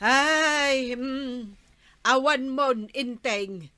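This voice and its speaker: A woman playing a homely, generous but nagging village woman in a comic radio drama, with expressive, drawn-out delivery. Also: high voice, no room sound, full character voice.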